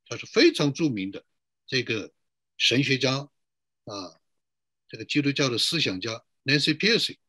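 A man's voice: mid-range voice, animated, moderate pace, long pauses, pushed and bright, slightly rough, no room sound, studio quality. A middle-aged man pastor giving a sermon to an online church, posing rhetorical questions and drawing out emphatic phrases.

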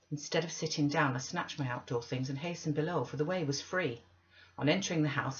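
A woman in her fifties reading a classic novel aloud at home into a computer microphone. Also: low voice. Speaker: reader of audiobook. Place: small indoor room at home. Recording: slightly dull webcam audio.

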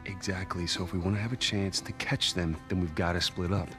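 An actor playing a keen, rough-edged adventurer around forty, talking casually with an American accent. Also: male voice, low voice, fairly even intonation, fairly quick, music in the background, close to an everyday voice. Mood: pragmatic. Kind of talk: naturalistic video game acting.

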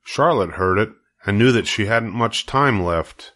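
Low-voiced man reading a storybook. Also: expressive, measured pace, a bit bright. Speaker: storybook reader. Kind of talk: audiobook narration of a children's story.

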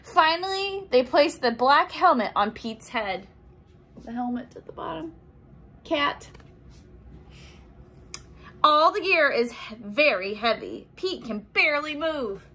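A woman's voice: high-pitched, slow pace, pauses often. A young adult woman reading a children's picture book aloud expressively, acting out sound effects and adding simple explanations. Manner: animated, acts out sound effects and exclamations.

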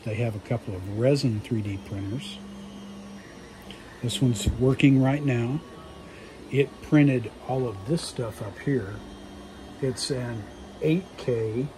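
A man's voice: medium pitch, noisy background. A man giving a casual, rambling, appreciative walk-through commentary as a vlog host. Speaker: host filming a shop tour. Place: inside a busy hobby shop. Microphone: handheld phone. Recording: busy shop chatter behind.

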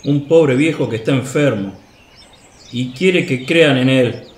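An actor playing a greedy, grumbling old beggar in a radio play, whining indignantly. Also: male voice, mid-range voice, unhurried, natural voice. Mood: complaining. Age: older. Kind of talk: Spanish-language radio play acting. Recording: roomy echo, faint birdsong noise.

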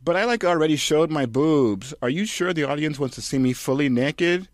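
A bratty, depressed young actress played by a man in a satirical script reading. The voice is pushed higher, whiny and airheaded, with valley-girl phrasing and a dry, close sound. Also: moderate pace, dry recording, close to an everyday voice. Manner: whiny, filler-heavy valley-girl talk.

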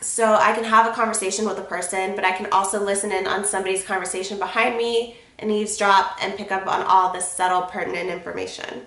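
A woman's voice: mid-range voice, sharp tone, roomy sound, low-quality recording. A woman in her twenties with a cold and a congested voice, chatting frankly to camera from her bedroom and swearing casually. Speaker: vlogger. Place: bedroom, sitting on a bed.

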